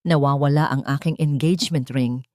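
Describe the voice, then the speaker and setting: A woman's voice: a bass voice, steady tone, moderate pace, darkish tone, dry recording, studio quality, voice pushed down. A woman voicing a scheming rich fiancée who speaks in a honeyed yet steely, accusing way. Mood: falsely sweet. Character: scheming rich fiancée, falsely sweet and cruel.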